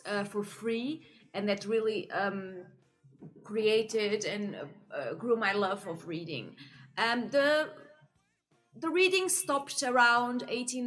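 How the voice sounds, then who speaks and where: A woman's voice: a little high, fairly bright tone. A young woman from Portugal chatting casually to camera about her reading, with many fillers and frequent laughs. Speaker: book vlogger.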